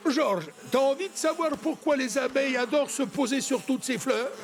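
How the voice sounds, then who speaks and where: A man's voice: extremely high pitch, normal speed. A man playing a friendly grown-up in a cartoon dub, explaining and encouraging over music. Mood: instructive. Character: friendly, instructive grown-up guiding a little monkey.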